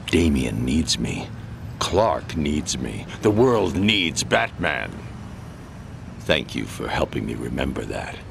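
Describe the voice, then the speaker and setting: An actor playing a grim, weary but resolute masked vigilante in a video game, with a gravelly voice. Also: male voice, a bass voice, background noise, heavily acted. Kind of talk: video game cutscene acting.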